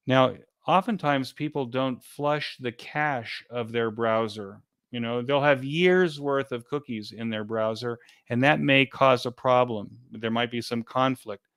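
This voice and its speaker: A man being interviewed as an event organizer, giving an informal step-by-step explanation in a halting, repetitive way. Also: mid-range voice, fairly bright tone, clear, resonant tone, dry recording, clean studio sound.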